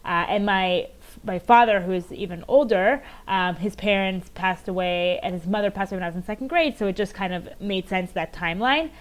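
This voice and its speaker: A woman in her thirties, originally from Maryland, speaking as an interview guest over a remote call from home. She tells her story earnestly and pauses often with "um". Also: mid-range voice. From United States, Maryland. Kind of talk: conversational interview answers.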